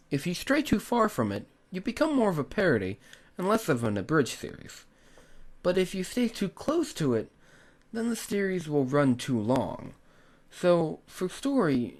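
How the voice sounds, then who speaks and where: A man's voice: fairly high pitch, a little mellow. A man narrating a scripted tutorial as its host, instructing the viewer directly and with emphasis. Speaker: host of a tutorial on making abridged series.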